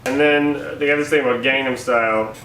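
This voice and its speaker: Young man, low voice, deadpan satirical co-host, casual banter, roomy sound, faint noise. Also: a little fast, recorded from a distance. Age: young adult. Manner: sarcastic, deadpan joking. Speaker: co-host of a campus humour paper's show.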